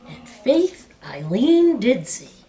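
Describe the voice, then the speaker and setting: A woman storyteller reading a picture book aloud with expressive, dramatic and emphatic phrasing. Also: a little high, slow pace.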